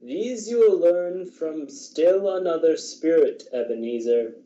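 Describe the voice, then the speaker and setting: A man playing a solemn ghostly spirit in a radio drama, with a portentous, drawn-out delivery. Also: a little high, animated, mellow. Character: solemn, booming ghostly spirit nearing its end. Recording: muffled, echoey, distant from mic.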